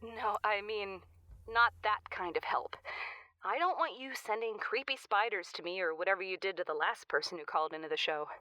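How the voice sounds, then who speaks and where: Woman acting bright, uneasy radio caller. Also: medium pitch, no echo, lightly acted. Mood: wary, defiant. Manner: hesitant, halting. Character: uneasy, suspicious woman calling a supernatural radio show. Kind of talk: audio drama acting.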